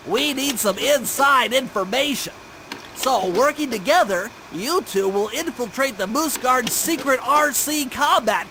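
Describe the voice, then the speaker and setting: A man's voice: very high voice, sharp tone, a little hiss or hum, full character voice. A man voicing a brainy, nerdy mission planner in a video game, with precise, wordy, formal phrasing. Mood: businesslike, urgent.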